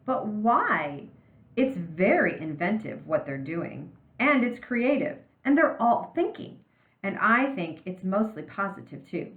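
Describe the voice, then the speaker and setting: A woman's voice: medium pitch, sing-song, a little slow, echoey room, narrow, tinny audio. A woman voicing an easygoing male teacher in a storybook, arguing persuasively and asking emphatic questions. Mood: earnest, pleading. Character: upbeat easygoing male teacher pleading for patience.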